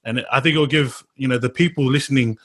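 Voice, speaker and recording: A man hosts a podcast in a loose, rambling way, stacking fillers before he gets to his question. His voice sits at an ordinary middle pitch. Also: fairly even intonation, fast talker, fairly bright tone. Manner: friendly, rambling, says bro and man a lot. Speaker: podcast host. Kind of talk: casual interview talk.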